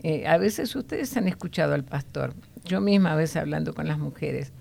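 Low, gravelly woman teaching the Bible. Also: expressive, a little mellow. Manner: explanatory, often ending points with a questioning "no?"; unhurried, with frequent hesitation fillers.

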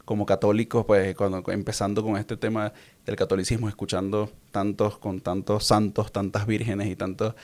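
A man's voice: on the low side, little pitch movement, normal speed, a little mellow. A young man with a Venezuelan accent giving casual personal testimony as a guest, meandering and often repeating words as he searches for them. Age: young adult. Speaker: interview guest.

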